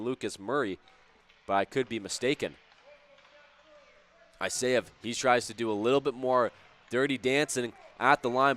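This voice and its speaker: Brief: male hockey play-by-play caller, mid-range voice, a bit raspy, chatty, spikes on scoring plays. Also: medium pitch, a bit bright. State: slightly hoarse, raspy voice. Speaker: play-by-play commentator. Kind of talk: live hockey play-by-play commentary.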